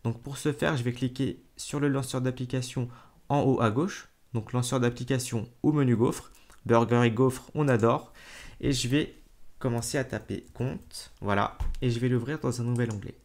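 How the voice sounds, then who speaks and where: A man hosting a screen-recorded software tutorial, talking casually to the viewer with fillers and self-corrections. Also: mid-range voice, fairly even intonation, normal speed. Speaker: tutorial host.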